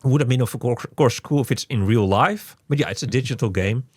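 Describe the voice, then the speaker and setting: A man hosting a casual game review, speaking haltingly and often repeating his words. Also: mid-range voice, a little mellow, slightly rough, no room sound, studio quality. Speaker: podcast host reviewing a video game.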